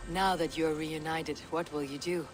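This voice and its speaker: Actress as a low-voiced, composed Viking warrior woman. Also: fairly even intonation, over music, close to an everyday voice. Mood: calm. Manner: measured, direct, understated. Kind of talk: video game cutscene acting.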